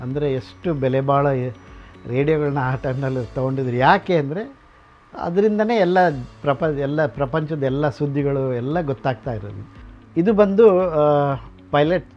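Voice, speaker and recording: A man's voice: mid-range voice, a little mellow. A middle-aged man gives an informal, explanatory guided talk as a collector, mixing in English words. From Karnataka, India. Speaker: radio collector and museum founder. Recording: dull lo-fi sound, music behind. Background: music.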